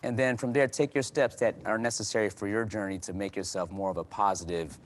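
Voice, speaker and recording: A man speaking as a guest in a panel discussion, earnest and conversational, often repeating and restarting words as he gets more animated. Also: on the low side, brisk, slight background noise. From United States.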